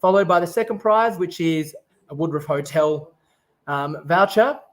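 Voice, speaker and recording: A man's voice: a little high, normal speed. A man raised in Japan, casually hosting an online festival stream and explaining things step by step in an upbeat way.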